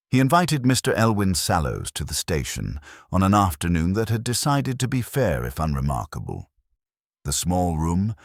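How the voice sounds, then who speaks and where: A man reading scripted literary narration in a measured, formal, wry manner. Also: rumbling low, slightly rough, no echo, studio quality. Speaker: audiobook narrator.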